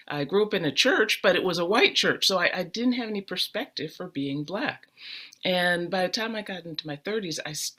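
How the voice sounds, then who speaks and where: A woman's voice: low-pitched, fairly bright tone. Earnest middle-aged woman string player. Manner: earnest and emphatic, repeats words for weight. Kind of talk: personal storytelling on a panel.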